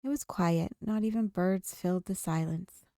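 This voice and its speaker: A woman's voice: lowish voice, normal speed, warm tone, no echo, clean studio sound. A woman streamer reading a visual novel's story aloud, now and then stumbling over a word and rereading it. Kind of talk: read-aloud story narration.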